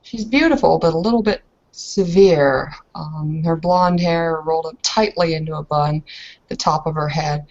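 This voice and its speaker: A woman with a slightly low voice narrates a roleplaying scene off the cuff. She thinks aloud hesitantly, and the audio is muffled. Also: normal speed, a little mellow. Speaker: roleplay player. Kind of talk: improvised game narration.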